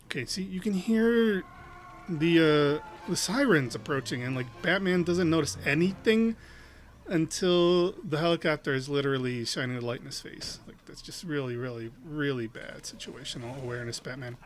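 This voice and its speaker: A man's voice: a little high, normal speed. A man hosting a casual reaction video, rambling with lots of fillers and restarts.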